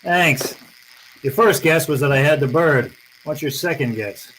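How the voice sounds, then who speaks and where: An actor playing a wry, tough, unflappable private detective, laconic and sardonic, in old-time radio style. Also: male voice, a little high, a little slow, a bit bright, a little hiss or hum, poor audio. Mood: sardonic, shrewd. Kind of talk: old-time radio drama acting and first-person narration.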